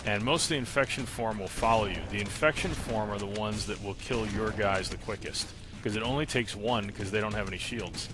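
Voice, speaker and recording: A man's voice: on the low side, a little fast, hardly any pauses. A man giving casual, step-by-step instructional commentary over a video game walkthrough. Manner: explanatory, step-by-step. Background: game gunfire and music.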